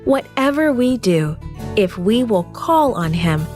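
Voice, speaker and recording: A woman narrating a scripted Bible story in a measured, deliberate way. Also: fairly high pitch, very animated, darkish tone, soft background music.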